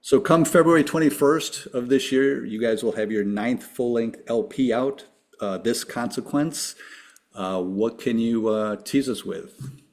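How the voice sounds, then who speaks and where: A man's voice: mid-range voice, unhurried, darkish tone. A man hosting a podcast, asking an upbeat string of numbered questions to his guest. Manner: upbeat, reads structured questions. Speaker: podcast host. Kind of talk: interview questions.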